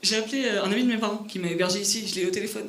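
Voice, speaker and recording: A man's voice: fairly even intonation, fairly bright tone. A young man with a Parisian accent doing a self-mocking stand-up set on a club stage. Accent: Parisian French. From France. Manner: quick, self-mocking, with a youthful high voice. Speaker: stand-up comedian. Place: comedy club stage before a laughing audience. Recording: echoey club with audible background noise. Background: audience laughter.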